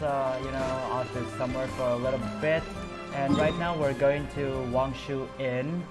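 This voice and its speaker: A man casually commenting on a game while streaming, rambling with lots of fillers and little laughs. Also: medium pitch. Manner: rambling, filler-heavy, giggly. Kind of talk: casual live commentary over gameplay.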